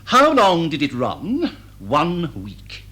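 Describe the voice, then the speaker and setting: A man's voice: on the high side, wildly swooping pitch, slow, fairly bright tone, full character voice. An actor playing a vain, indignant middle-aged English stage star who declaims grandly and answers his own questions in a radio comedy. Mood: grandly aggrieved. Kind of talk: stage drawing-room comedy in radio drama.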